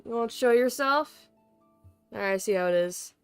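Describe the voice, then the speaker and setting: Clear-voiced playful woman livestreamer. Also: fairly high pitch, moderate pace, soft background music. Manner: playful, chatty, talks to pets. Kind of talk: casual livestream chat to viewers. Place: bedroom at home.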